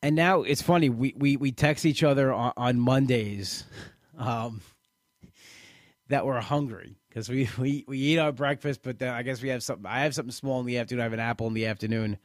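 A man in his late thirties talks candidly about his own experience, stumbling over his words and starting sentences again and again. His voice sits at an ordinary middle pitch, and the recording is dry and close with no room sound. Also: no room sound. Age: late 30s. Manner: halting, many restarts and repeated words. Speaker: weight-loss program member. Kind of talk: personal testimonial talk.

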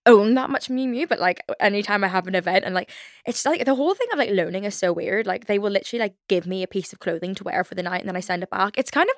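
A woman's voice: medium pitch, creaky, no echo, clean studio sound. A young woman in her twenties with a Belfast accent, hosting a casual solo podcast, chatty and rambling with lots of "like" and self-mocking asides. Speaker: podcast host.

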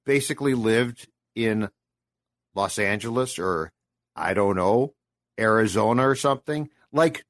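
A man's voice: mid-range voice, dry recording, studio quality. A man co-hosting a podcast in a casual chat, sounding amused, rambling, and now and then putting on voices to mock. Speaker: podcast co-host.

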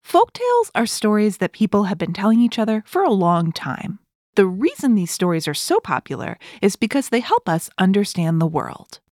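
Expressive woman hosting a children's show. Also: mid-range voice, normal speed, no echo, clean studio sound. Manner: expressive, clearly addressed to young children. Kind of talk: scripted storytelling and instructions for children. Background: music.

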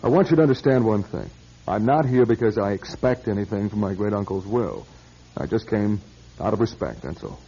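A man's voice: on the low side, expressive, fairly quick, gravelly, warm tone, breathy edge, full character voice. An actor playing an impatient, haughty businessman and heir in a classic radio drama, curt and self-important. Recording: dull lo-fi radio recording.

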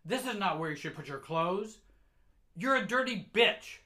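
A man voicing an enraged, abusive mother who shouts accusations and insults at a child. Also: sits high, lively intonation, moderate pace, voice pushed up. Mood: furious scolding.

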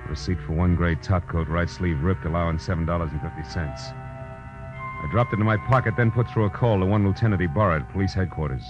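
A hard-boiled American private eye, played by a male actor, speaks very low and dry, with slangy, sardonic wit. It is classic 1940s radio noir, heard through a dull vintage recording with music behind. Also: a little fast, lightly acted. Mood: wry narration. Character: hard-boiled wisecracking male private detective, tough and wry. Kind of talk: 1940s radio noir acting and first-person narration.

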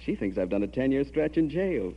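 An actor playing a sheepish, reformed American uncle in a 1940s radio comedy, hesitant and self-effacing. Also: male voice, medium pitch, big pitch swings, fairly quick. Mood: amused pleading. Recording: noisy, dull old radio recording.

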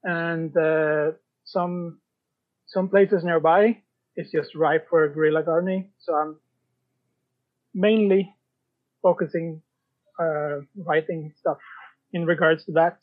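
A man chatting casually as an interview guest, halting and pausing mid-sentence. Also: fairly high pitch, steady tone, phone-quality sound. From Sweden. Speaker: podcast guest, writer.

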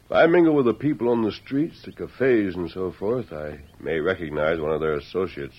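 An actor playing a calm, resolute western lawman in old-time radio style, speaking formally and with measured authority. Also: low-pitched. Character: calm, resolute masked lawman posing as farmhand. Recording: muffled vintage radio recording.

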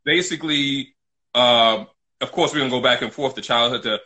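A man's voice: medium pitch, moderate pace, sharp tone. A middle-aged man from New City, telling his own story casually as an interview guest, emphatic and often repeating himself. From New York City, United States.

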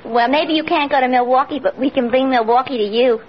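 Actress as a high-voiced, wry tavern cashier woman. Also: fairly quick, lightly acted. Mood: teasing. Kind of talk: 1940s-50s radio comedy acting. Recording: muffled, hissy old radio recording.

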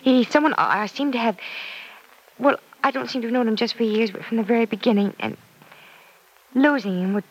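An actress playing an earnest, self-doubting young woman in an old-time radio serial, confiding in a hesitant, soft-hearted way. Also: on the high side, brisk, pauses often, lightly acted, low-quality recording. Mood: confused, confiding. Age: young adult.

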